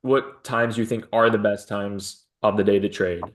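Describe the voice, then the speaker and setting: A man hosting an interview over a video call, a trading coach who asks questions, drops quick affirmations and pitches his own teaching. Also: steady tone.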